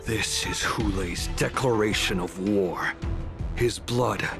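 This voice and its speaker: Low, gravelly grave warrior. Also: male voice, slow pace, over music. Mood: grave warning. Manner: grave, labored. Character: grave, wounded male warrior reporting to his general. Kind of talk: video game voice acting.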